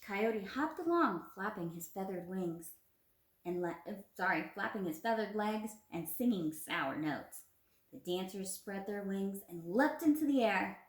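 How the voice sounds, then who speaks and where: Young woman, teacher, mid-pitched voice, encouraging, read-aloud style, roomy phone recording. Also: expressive, measured pace. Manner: encouraging, prompting with simple questions. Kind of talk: children's read-aloud lesson. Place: small indoor room at home. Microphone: phone at arm's length.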